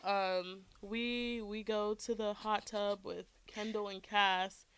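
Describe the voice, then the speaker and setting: A woman guest in a casual chat, outgoing and quick to laugh. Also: medium pitch, little pitch movement, a bit bright, clean tone, no room sound.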